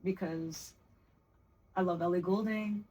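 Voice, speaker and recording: A woman singer chatting casually on a podcast, animated and expressive, talking freely with slang. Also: on the low side, slow, a little hiss or hum, rough recording.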